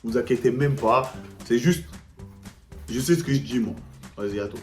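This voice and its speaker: A man's voice: mid-range voice, normal speed. A man in his twenties, chatting and joking in slangy banter while hosting a drinking game. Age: 20s. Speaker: youtuber host. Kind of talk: casual drinking game chat. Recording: echoey room with music behind.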